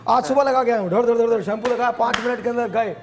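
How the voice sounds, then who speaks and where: Male morning show host, high-pitched, playful and teasing, lively studio chat with English sprinkled in. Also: very fast, very noisy. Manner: mixes English words into talk.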